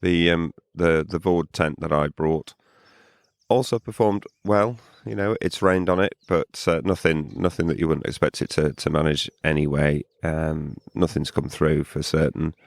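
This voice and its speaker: A man co-hosting a podcast, chatting casually at a campsite in a morning voice hoarse from drinking, relaxed and rambling, repeating words as he goes. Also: very deep voice, moderate pace, no room sound, clean studio sound. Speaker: podcast co-host. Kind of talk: casual chat outdoors at a campsite.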